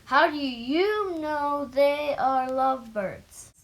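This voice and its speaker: A child acts out an anxious, easily upset elephant from a storybook. The voice is high and very slow, fretful and exclamatory, as in a picture book read aloud. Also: expressive, fairly bright tone, heavily acted. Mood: worried.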